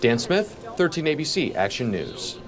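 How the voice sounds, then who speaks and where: A man's voice: mid-range voice, sing-song, moderate pace. An American man narrating a scripted television news report as a reporter.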